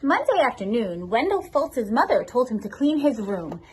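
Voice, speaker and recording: A woman's voice: on the high side, very animated, normal speed, slightly rough. A woman reading a children's storybook aloud expressively, as a school assistant principal addressing her pupils.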